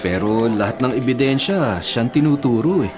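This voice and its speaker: A man playing a stern, blunt police investigator with a Filipino accent, speaking deliberately in a radio drama. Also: medium pitch, expressive, close to an everyday voice. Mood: grave, blunt. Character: stern, matter-of-fact adult male police investigator. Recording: dramatic music behind. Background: music.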